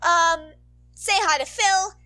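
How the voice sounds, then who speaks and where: High, bright, bubbly young woman. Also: slow pace. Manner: bubbly, playful, rambling. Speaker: podfic reader and channel host. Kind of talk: casual chatty outro to listeners.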